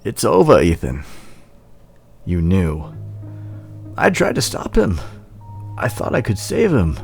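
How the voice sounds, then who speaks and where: A man's voice: sits low, huge pitch swings, takes it slowly, creaky, breathy edge, soft background music, heavily acted. A man playing a sorrowful, resigned villain in a dramatic screenplay read, pleading and ominous. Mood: pleading regret.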